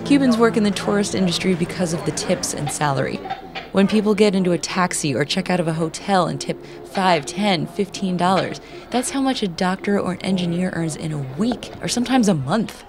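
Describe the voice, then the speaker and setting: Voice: mid-range voice, fairly quick, over music. A documentary narrator reading a scripted travelogue.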